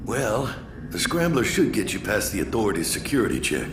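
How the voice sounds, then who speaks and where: An actor playing a gruff, weary resistance marshal in a video game, blunt and wry. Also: male voice, on the low side, very animated, a little fast, echoey room, music in the background. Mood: worried.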